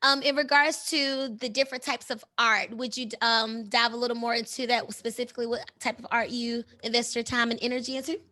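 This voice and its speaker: High, bright-voiced woman hosting a podcast. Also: fairly even intonation, brisk, hardly any pauses. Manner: effusive, affirming, affectionate. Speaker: podcast host. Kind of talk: casual podcast interview.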